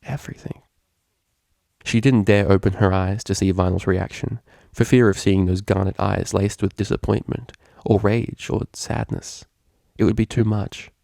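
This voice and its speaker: Story reader: male, low gravelly voice, dry recording, scripted narration of fan fiction. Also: steady tone, normal speed, dark tone, no room sound.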